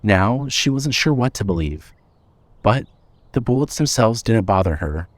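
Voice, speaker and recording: A man's voice: lowish voice, animated, unhurried, no echo. A man reading scripted fiction as the narrator of an audio story.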